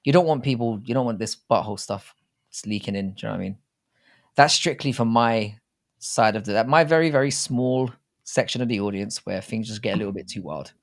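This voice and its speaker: A man's voice: on the low side, a little fast. A middle-aged British man co-hosting a casual live sports chat, blunt and sweary as he rambles through banter. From Britain. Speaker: live stream co-host.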